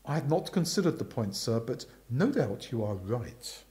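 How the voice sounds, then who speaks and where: A man voicing a calm, deferential, brainy valet in a storybook reading, formal and polite. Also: mid-range voice, normal speed, pitched below the performer's own voice, close to an everyday voice.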